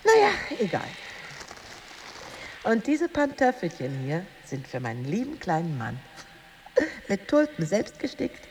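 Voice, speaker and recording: A woman's voice: medium pitch, huge pitch swings, unhurried, pauses often, background noise. An actress playing a chatty, condescending married woman needling a lonely rival in a German radio drama, gushing and giggly.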